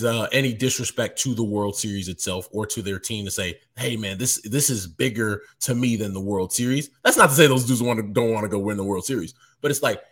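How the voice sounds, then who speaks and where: A man's voice: on the low side, fairly bright tone. A man being interviewed as a baseball writer, talking casually and animatedly with lots of restarts.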